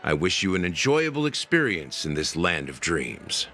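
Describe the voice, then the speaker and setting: An actor voicing a courteous, confident and reassuring security officer in a video game. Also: male voice, low-pitched, sing-song, moderate pace, sharp tone, a little hiss or hum, full character voice. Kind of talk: scripted video game dialogue.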